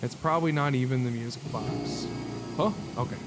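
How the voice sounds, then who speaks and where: A man's voice: medium pitch, normal speed, loud music behind the voice. A man doing casual let's-play commentary as a gaming host, joking and self-deprecating in slangy talk.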